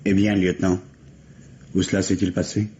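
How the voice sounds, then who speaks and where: An actor playing a grave, bitter widower in a French mystery radio play, with measured, dramatic delivery. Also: male voice, deep voice, level tone, loud music behind the voice, lightly acted. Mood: bitter, tense. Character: grieving, bitter New York widower hunting his wife's killer. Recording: dull, hissy vintage radio recording.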